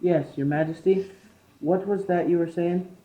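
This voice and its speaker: A cocksure, plain-spoken working man played by a teenage lad in a student radio play. The voice is fairly high and mellow. The amateur recording is muffled and roomy. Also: steady tone, measured pace. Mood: respectful, friendly. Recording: muffled, echoey classroom-made amateur recording.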